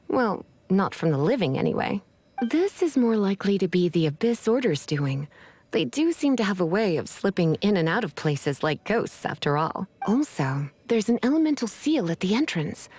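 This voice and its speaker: Elegant, teasing witch librarian, animated. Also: mid-range voice, husky. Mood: composed, confident. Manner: poised, teasing, condescending. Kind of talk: video game voice acting.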